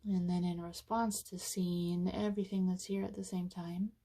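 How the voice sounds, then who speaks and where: A woman leading a guided meditation in an informal, conversational way, with soothing, casual phrasing and frequent pauses. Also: on the low side, level tone, mellow.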